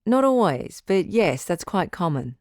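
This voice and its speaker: A woman playing a composed, frank relationship doctor who hosts a radio call-in drama in a measured, counselling way. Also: lowish voice, big pitch swings, no echo, studio quality. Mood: welcoming, attentive. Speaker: radio advice show host.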